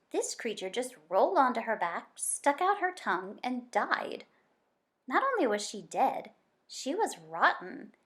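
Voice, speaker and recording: Higher-voiced woman reading a children's story. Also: fairly bright tone. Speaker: story reader. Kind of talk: expressive children's read-aloud narration.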